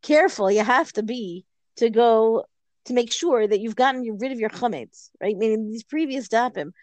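A woman's voice: a little high, moderate pace, darkish tone, dry recording. A woman in casual Talmud study, answering her partner with playful challenges. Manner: playful challenging back-and-forth.

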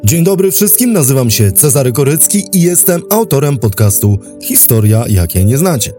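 Male podcast narrator, dark warm timbre, medium pitch, measured dramatic delivery, scripted documentary style, soft music underneath. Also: big pitch swings. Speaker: history podcast host and narrator.

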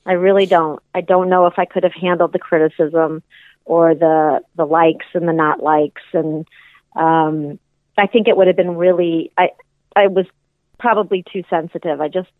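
A woman musician speaks reflectively, often pausing and restarting her sentences, in a fairly low voice. She comes through a thin, tinny phone line with dry sound. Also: flat delivery, no room sound. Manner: reflective, hesitant, many restarts. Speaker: musician guest. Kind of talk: casual interview chat.

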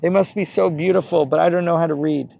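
A man's voice: fairly high pitch, steady tone, fast, gravelly, darkish tone, voice pushed up. A man voicing an earnest, plaintive young boy in a spoken religious folk tale. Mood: tearful pleading. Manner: plaintive, exclaiming. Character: earnest pleading illiterate orphan boy. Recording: noisy dull recording.

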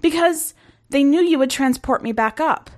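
Woman voicing a squeaky, miserable alien blob soldier. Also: big pitch swings, normal speed.

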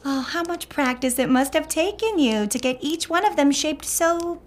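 A woman's voice: high voice, expressive, normal speed. A woman playing a prim, melodramatic Puritan gossip, falsely sweet and self-satisfied, in a comic audio drama. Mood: sweetly catty. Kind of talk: comedic audio drama acting in archaic English.

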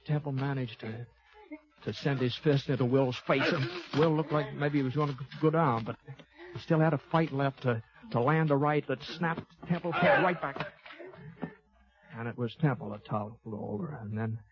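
A man's voice: medium pitch, normal speed, lightly acted. Man playing folksy Old West gunfighter, dull old radio. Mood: excited, urgent. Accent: American. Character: laconic, folksy, fair-minded Old West gunfighter narrating his tale. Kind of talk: 1950s radio western acting, first-person narration. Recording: dull lo-fi vintage 1950s radio recording.

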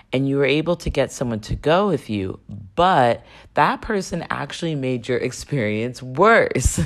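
A woman talks alone as the host of her own podcast, her voice a little raspy. She speaks in a chatty, conversational way and often turns to her listeners with questions. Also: a bass voice. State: slightly raspy voice. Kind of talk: solo podcast monologue.

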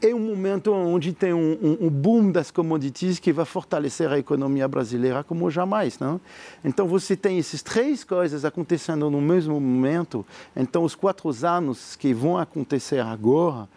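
French man, mellow, halting visionary. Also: on the high side. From France. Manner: earnest, visionary, halting with many fillers and repeated words. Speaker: entrepreneur. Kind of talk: interview answers.

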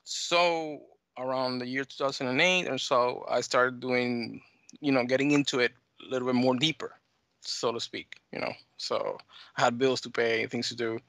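A man with a mixed Dominican and American accent talks as an interview guest. His voice is creaky and bright at an average pitch, and he phrases things haltingly in a very dry recording. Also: dry recording. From United States and Dominican Republic. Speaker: talk show guest, flight sim scenery developer. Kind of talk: conversational interview answers.

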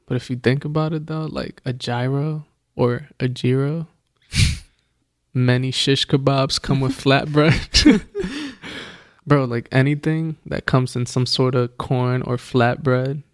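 A man's voice: mid-range voice, fairly even intonation, slow, no room sound, clean studio sound. A man chatting casually as a podcast co-host, laid-back and slangy, laughing often. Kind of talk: casual chat. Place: Los Angeles.

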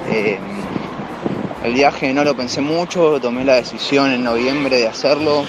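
A young man from Argentina with an Argentine accent, talking about his trip in a relaxed, casual way. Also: medium pitch, unhurried, background noise.

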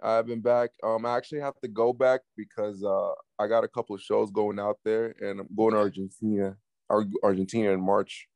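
A man from Peru who grew up partly in New York, giving casual interview answers as a music artist, haltingly and with repeated words. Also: lowish voice, flat delivery, darkish tone, dry recording. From Peru, moved to the US at six, based in New York City. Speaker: guest, up-and-coming Latin music artist.

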